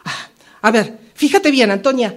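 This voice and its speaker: A woman in an amateur radio drama playing a grumpy, pious retired old woman with a husky Rioplatense Argentine accent, complaining and exclaiming melodramatically. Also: a little high, normal speed, close to an everyday voice. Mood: urgent.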